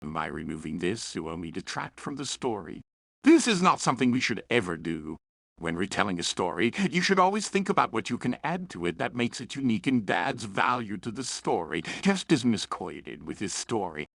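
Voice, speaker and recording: A man's voice: fairly low pitch, very animated, moderate pace, gravelly, a bit bright, no echo, clean studio sound. A man delivering a scripted review with opinionated, emphatic delivery. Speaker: story reviewer.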